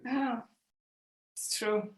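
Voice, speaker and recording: A woman from Germany, calling in over a video call and speaking in a halting, heartfelt way with frequent pauses. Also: on the high side, steady tone, reverberant. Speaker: caller. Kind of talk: emotional call-in sharing.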